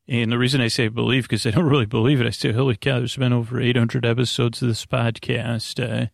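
Creaky, rambling man. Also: mid-range voice, no echo, studio quality. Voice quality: creaky, gravelly. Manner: meandering, halting, self-interrupting. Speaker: podcast host. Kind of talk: rambling bedtime monologue to help listeners sleep.

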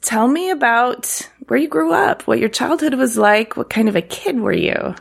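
A woman hosting a podcast, introducing and interviewing in a prepared, clearly structured way. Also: fairly high pitch, lively intonation, normal speed. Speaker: podcast host.

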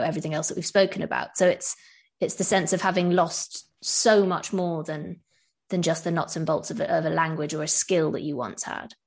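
A woman co-host thinks out loud, pausing and searching for the right words. Her voice sits a little low. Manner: searching, with pauses and fillers. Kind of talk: podcast conversation.

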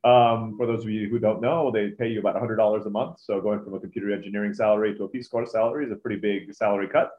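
Fast-talking man on an echoey line. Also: lowish voice, steady tone. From Turkey. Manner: easygoing, chatty, self-deprecating. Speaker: guest, business owner. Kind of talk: casual interview talk. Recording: echoey, dull-sounding remote line.

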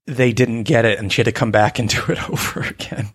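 A man co-hosting a podcast, relaxed and teasing in casual chat. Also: mid-range voice, fairly quick, slightly rough. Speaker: podcast co-host.

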